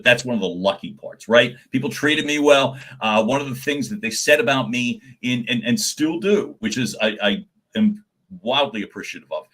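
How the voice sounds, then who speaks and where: A man giving advice in a live question and answer session, animated and given to repeating words as he starts a thought, with a naturally loud voice. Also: medium pitch, slightly rough. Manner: says he has a naturally loud voice. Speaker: guest voice coach.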